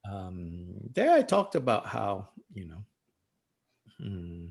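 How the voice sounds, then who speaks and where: A middle-aged man leads a book study as a Buddhist teacher. His voice is husky with a gravelly edge. His pitch swings freely as he muses aloud, pausing often and chuckling between thoughts. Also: wildly swooping pitch, a little mellow. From Malaysia. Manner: thoughtful, unhurried, many "you know"s and pauses, chuckles often. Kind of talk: reflective discussion talk.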